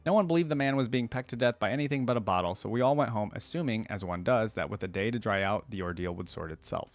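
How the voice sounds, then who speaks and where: A man's voice: on the low side, fast, no echo. A man hosting a reaction video, talking casually and reading a story aloud.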